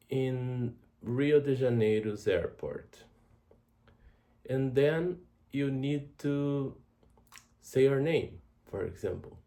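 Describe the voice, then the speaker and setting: A Brazilian man with a Brazilian Portuguese accent, a native Portuguese speaker, introducing a travel language course as tutor and speaking slowly and deliberately, often repeating words. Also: mid-range voice, warm tone.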